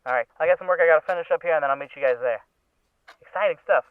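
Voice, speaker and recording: A man playing a breezy, brisk female newspaper reporter with a casual, offhand way of talking. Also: fairly high pitch, clear, resonant tone, no room sound, natural voice. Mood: brisk, businesslike. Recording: thin, phone-like radio sound.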